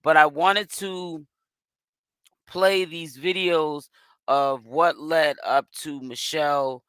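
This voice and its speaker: A man with a hoarse voice, hosting and giving casual gossip commentary. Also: on the high side, steady tone, takes it slowly, a bit bright, no echo. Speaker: commentary host.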